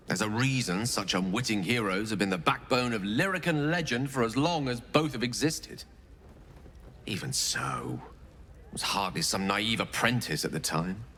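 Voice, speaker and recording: A theatrical game wizard with a bright, forceful voice that swings widely in pitch. He is articulate and self-mocking, proud but rueful. Long reverb trails his words. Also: a little hiss or hum. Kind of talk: dramatic video game dialogue.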